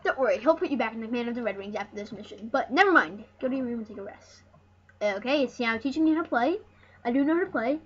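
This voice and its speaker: Female let's play host, higher register, rambling and jokey, sweary at times, on a dull, slightly noisy mic. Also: lively intonation, quick pace, slightly rough. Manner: joking, rambling, casually sweary. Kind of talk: casual gameplay commentary, reading game dialogue aloud. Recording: muffled lo-fi mic with background noise.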